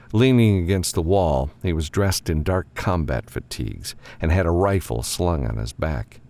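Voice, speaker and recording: Deep gravelly male audiobook narrator. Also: darkish tone.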